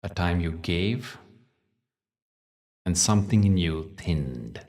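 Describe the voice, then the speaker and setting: Very deep, slow-speaking man guiding meditation. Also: animated, darkish tone, far from the mic. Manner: soothing, measured, long pauses between phrases. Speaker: meditation guide. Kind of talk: guided meditation with spoken teaching.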